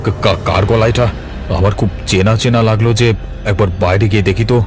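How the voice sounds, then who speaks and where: A man voicing a guilty, suspicious village master in an animated horror story, stammering and demanding, jumpy yet defiant. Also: lowish voice, brisk, close to an everyday voice. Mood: startled, suspicious. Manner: stammering, demanding, repeats questions.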